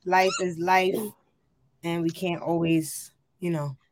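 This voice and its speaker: A woman's voice: on the low side, flat delivery, slow pace, dry recording. A woman co-hosting a podcast, chatting casually in a laid-back, slangy, teasing way. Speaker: podcast co-host. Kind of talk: casual chat.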